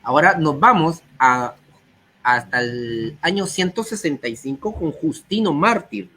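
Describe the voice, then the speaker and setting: A man with a somewhat high voice, slightly hoarse from a cold, gives a teaching talk. He reads passages aloud and then explains what they mean. A faint noise sits behind him. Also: lively intonation, a little slow, sharp tone. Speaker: guest speaker. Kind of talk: teaching talk on early church texts.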